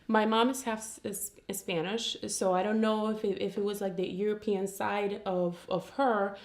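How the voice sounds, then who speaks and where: A woman from Colombia talks openly as a talk show guest, laughing easily and restarting her sentences. Her voice sits at a middle pitch. The room around her rings with echo. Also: flat delivery, moderate pace. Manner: candid, giggly, many fillers and restarts. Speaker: guest sex blogger. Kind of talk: casual talk show chat. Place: studio set at a table.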